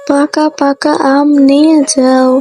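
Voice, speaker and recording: An eager little village girl from a storybook cartoon, chirping in a high but mellow voice that stays fairly level, as a woman voices her. She calls out cheerfully and sounds young and hopeful. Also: little pitch movement, slow, soft background music. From Bangladesh.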